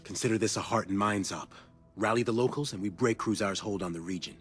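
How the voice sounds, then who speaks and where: An actor playing a brisk, no-nonsense intelligence handler, matter-of-fact and colloquial, giving a video game mission briefing in a husky voice. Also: male voice, on the low side, soft background music, lightly acted. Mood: businesslike.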